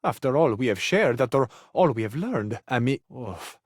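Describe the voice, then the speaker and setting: Game role: male actor as suave Italian lover-cabinet, medium-pitched, florid and flirtatious, passionate, very dry close sound. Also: lively intonation, normal speed, no room sound, close to an everyday voice. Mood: tender, romantic. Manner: flirtatious, florid, earnest, lingering on endearments. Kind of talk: theatrical romantic video game voice acting.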